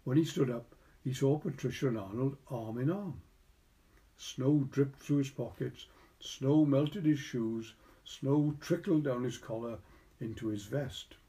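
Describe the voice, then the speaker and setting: A Welsh man narrates a literary story. His voice is mellow and relaxed, sitting at an ordinary male pitch. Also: measured pace. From Wales. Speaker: story reader.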